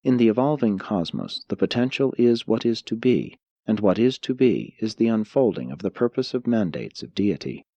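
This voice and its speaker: A man narrating an audiobook, reading formal scripted philosophical prose in a measured, declarative manner. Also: lowish voice, sing-song, mellow, slightly rough, no room sound.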